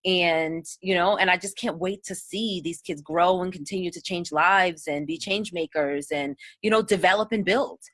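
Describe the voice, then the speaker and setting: Female host, thirties; gushing, grateful, bright edge, dry sound. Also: lowish voice, no echo. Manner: effusive and grateful, quick laughs. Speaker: interview host. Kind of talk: warm conversational interview.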